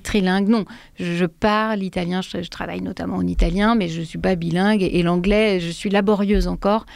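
Woman singer guest, creaky voice, dry close mic, halting delivery. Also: medium pitch, a little mellow, no echo. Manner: hesitant, many fillers and restarts. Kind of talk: radio interview, casual.

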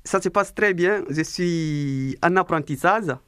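A man in his twenties from Guinea, interviewed on the radio, answering in slow, careful sentences with some hesitations. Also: fairly high pitch, fairly bright tone, smooth, dry recording, clean studio sound. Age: 20s. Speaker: interview guest, apprentice baker.

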